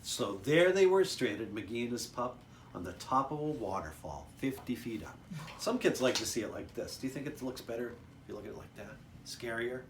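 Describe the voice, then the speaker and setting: A man's voice: medium pitch, lively intonation, normal speed, a little hiss or hum. A man, a children's author, reading a picture book aloud expressively, with chatty asides to young listeners.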